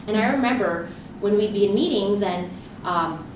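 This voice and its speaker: A woman speaks to a live audience as a leadership coach, telling stories and voicing her own thoughts with energy. Her voice comes from a distance and rings through a very echoey room with steady noise in the background. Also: medium pitch, normal speed. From grew up in Southern California. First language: Romanian. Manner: lively storytelling with quoted inner thoughts. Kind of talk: live conference presentation.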